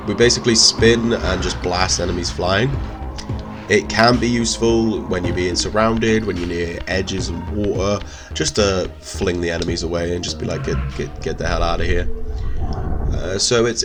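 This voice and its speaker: A man with a cold, giving rambling, chatty gameplay commentary in a stuffy voice. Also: sits low. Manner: rambling, chatty, self-correcting. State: stuffy with a cold and sore throat. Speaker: let's play host. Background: game music.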